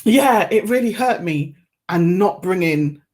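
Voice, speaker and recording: A woman who is a guest on a podcast, speaking in a reflective, measured way and choosing her words carefully. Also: on the low side, lively intonation, a little mellow. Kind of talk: reflective conversational interview.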